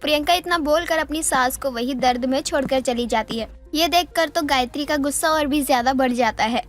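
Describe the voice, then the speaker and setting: High-voiced woman narrating a story. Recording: music under the voice.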